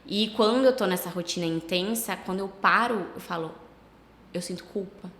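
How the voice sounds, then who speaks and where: A Brazilian woman with a slight cold, a travel agency owner chatting casually as a podcast guest, relaxed and full of fillers. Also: medium pitch, moderate pace. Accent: Brazilian Portuguese. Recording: echoey room with long reverb.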